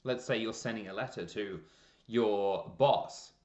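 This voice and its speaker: A man presenting a scripted promotional explainer in a measured, deliberate way with emphasis on key words. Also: fairly low pitch, a little mellow. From Canada. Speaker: presenter, freelance copywriter. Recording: roomy echo, slightly dull lo-fi sound.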